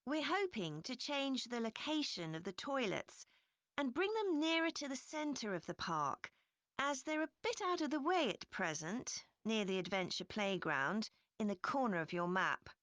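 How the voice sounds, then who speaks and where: A woman's voice: fairly high pitch, very animated, moderate pace, a bit bright, no echo. Female council chair, scripted public briefing, very varied intonation, close dry sound. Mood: informative. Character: brisk, upbeat female council committee chair giving briefing. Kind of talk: scripted public address for a listening test.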